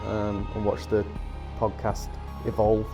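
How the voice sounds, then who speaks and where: Deep-voiced man with a stuffy cold. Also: completely flat delivery, a little slow, music in the background. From England. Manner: rambling, chatty, many ums. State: nasal from a head cold. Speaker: podcast co-host. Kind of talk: casual chat.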